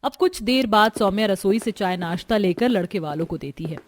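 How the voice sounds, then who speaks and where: A woman's voice: mid-range voice, lively intonation, a little fast. A woman narrator reading a scripted cartoon family story. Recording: music behind the voice.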